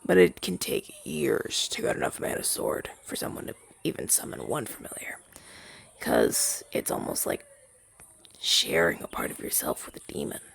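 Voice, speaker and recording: A man voicing a gentle, wistful demon familiar in an ASMR roleplay, soft-spoken and hesitant, in a breathy, husky half-whisper. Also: low voice, huge pitch swings, moderate pace, heavily acted. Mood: encouraging. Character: gentle, wistful male rogue demon familiar left masterless. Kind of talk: ASMR roleplay audio drama, softly spoken to listener.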